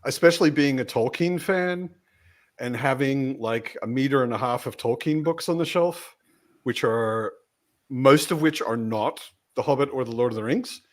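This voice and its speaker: A man's voice: medium pitch, moderate pace. Man in a casual book discussion.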